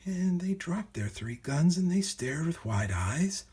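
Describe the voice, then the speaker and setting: Male children's storybook narrator: theatrical, rhythmic verse reading, lively intonation, slightly rough higher voice. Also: measured pace.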